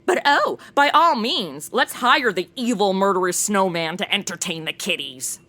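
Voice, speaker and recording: A young woman playing a snarky, excitable modern gal in a radio comedy, gushing and sassy. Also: mid-range voice, normal speed. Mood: indignant. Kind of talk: comic narration and banter in modern slang.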